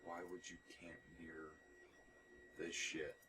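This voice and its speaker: Slow, low-voiced male game streamer. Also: monotone, noisy background. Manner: terse, dry, muttered asides. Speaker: gameplay streamer. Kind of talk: offhand let's play commentary.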